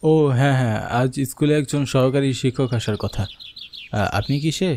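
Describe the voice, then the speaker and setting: A man's voice: medium pitch, brisk, a little mellow, slight background noise, lightly acted. A man voicing a young schoolmaster in a horror cartoon who also narrates the story, with an earnest, anxious manner. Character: young adult male schoolmaster, earnest nephew.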